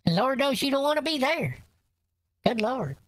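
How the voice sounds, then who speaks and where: A woman voicing a crude, loud old grandma who hurls vulgar insults on a prank call. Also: sits high, very animated, normal speed, no room sound. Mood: bawdy. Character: crude foul-mouthed brash old grandma, quick to anger. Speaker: prank caller baiting a scammer.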